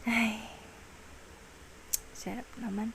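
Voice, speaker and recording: A woman playing an affectionate, reassuring girlfriend in an ASMR roleplay, with a Filipino accent and soft, breathy speech that slips into whispers. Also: a little high, fairly even intonation, slight background noise, full character voice. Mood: playful, affectionate. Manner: slow, tender, mixes Tagalog with English. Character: affectionate, patient, reassuring young girlfriend soothing a partner.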